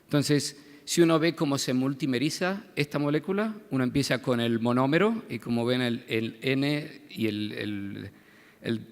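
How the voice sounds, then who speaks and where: A middle-aged man with an Argentine accent giving a medical conference lecture, halting with many fillers and restarts. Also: mid-range voice. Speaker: visiting hematologist speaker. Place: conference hall. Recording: long hall reverb.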